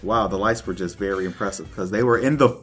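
A man co-hosting a podcast, chatting casually in an animated way. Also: lowish voice, quick pace, soft background music. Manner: animated, breaks into laughter easily. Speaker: podcast co-host. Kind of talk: casual chat about anime and games.

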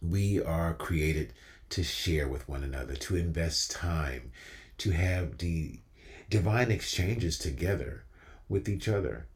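A young man with an extremely low voice gives an inspirational spoken-word piece. He speaks slowly, in measured phrases set apart by emphatic pauses. Long, hall-like reverb trails the words. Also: expressive. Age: young adult. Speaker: featured storyteller.

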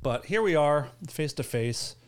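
A man's voice: a little high, lively intonation, measured pace, clean tone. Joking, profane podcast guy. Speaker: podcast co-host. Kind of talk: casual podcast chat.

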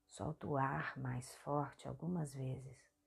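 A Brazilian woman hosting a guided meditation, speaking softly and breathily in short, soothing phrases. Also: extremely low pitch, steady tone, takes it slowly, gravelly, husky. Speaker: guided meditation podcast host.

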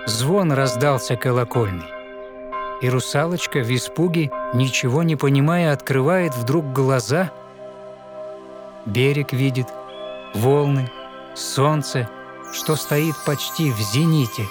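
Slow, theatrical male fairy-tale narrator. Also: medium pitch, music in the background. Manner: measured, theatrical, expressive recitation. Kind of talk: dramatic verse storytelling of a fairy tale.

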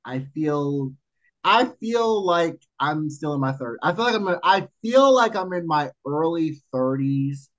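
A man's voice: a little high, measured pace, dull audio. Emphatic man in his forties, casual chat. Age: 40s. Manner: animated, emphatic, repeats himself. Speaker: podcast co-host.

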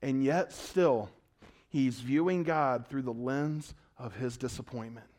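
A man preaching a sermon in a conversational way, asking his congregation questions and swinging between loud, urgent lines and hushed ones. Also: mid-range voice, slow. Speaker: pastor.

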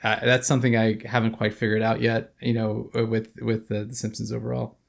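A man's voice: fairly low pitch, level tone, brisk, creaky. A man in his thirties co-hosting a podcast, talking in a thoughtful, hesitant way with many fillers. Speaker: podcast co-host. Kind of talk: casual chat.

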